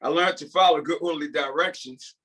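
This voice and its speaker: Man as grateful newly freed convict in drama read-through: earnest, halting delivery, highish bright voice. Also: husky. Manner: sincere, humble, halting. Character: earnest, grateful, devout male ex-prisoner starting over. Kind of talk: script table read.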